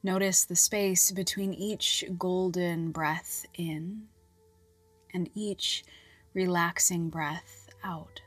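A woman reading a scripted guided relaxation in a soft, soothing, measured voice with long pauses and breathy phrase endings. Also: lowish voice, fairly even intonation, no room sound. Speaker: guided meditation narrator. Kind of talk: scripted hypnobirthing relaxation guidance. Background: music.

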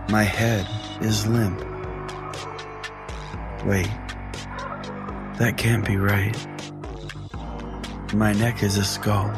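A man hosting a comedy show as a mock-serious, rambling pastor. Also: medium pitch. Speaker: host playing a pastor of a comedy call-in show. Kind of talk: comedy podcast monologue and banter.

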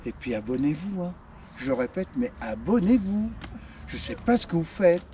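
A man in his fifties chatting casually on a camper van travel vlog, relaxed and trailing off with fillers. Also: fairly high pitch, moderate pace. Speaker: vlogger. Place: camper van cab and roadside outdoors. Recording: distant mic with loud road and engine noise.

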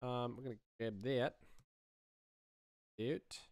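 A man in his thirties, a sound designer, thinking aloud casually in low-key short fragments as he works in a small studio. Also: steady tone, takes it slowly, no room sound. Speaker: sound designer, tutorial host. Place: small home studio room indoors.

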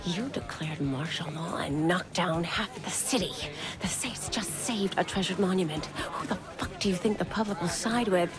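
A woman's voice: on the low side, normal speed, close to an everyday voice. A woman playing a cutting, assertive female politician. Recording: game audio over music and crowd.

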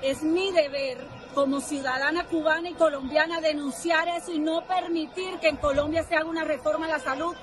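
Woman from cuba, high voice, firm and denouncing, outdoor street, crowd noise behind. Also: fairly even intonation, brisk. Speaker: protester being interviewed.

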